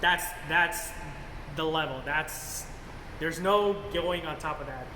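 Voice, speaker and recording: A man co-hosting a casual film review, speaking informally and haltingly, repeating himself for emphasis. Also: on the high side. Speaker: movie review co-host. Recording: echoey reverberant room, clear background noise.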